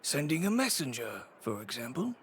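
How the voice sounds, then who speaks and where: A man playing a wry, reserved businessman in an anime game, speaking in a measured, hesitant manner. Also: mid-range voice, sing-song, a little hiss or hum, lightly acted. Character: wry, reserved male supplier, a businessman weary of gossip.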